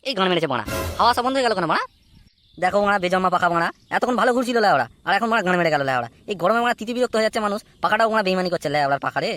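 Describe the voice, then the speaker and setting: A man's voice: sits high, expressive, rapid-fire, a bit bright, no room sound. A man playing a brash, foul-mouthed, boastful young slacker in a comedy cartoon. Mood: irritated. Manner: crude, swearing, blustering.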